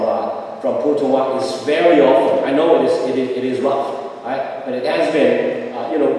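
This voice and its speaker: A man's voice: mid-range voice, dark tone. Cantonese-speaking man lecturing in echoey hall. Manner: hesitant, many fillers and repeated words, tag "right?". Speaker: academic lecturer. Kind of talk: academic talk. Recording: echoey hall, distant mic, faint noise.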